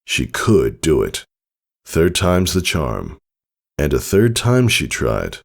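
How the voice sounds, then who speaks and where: Male story narrator: low, gravelly register, expressive dramatic reading. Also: slow pace. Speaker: audiobook narrator. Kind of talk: scripted narration of fan fiction, dramatic storytelling.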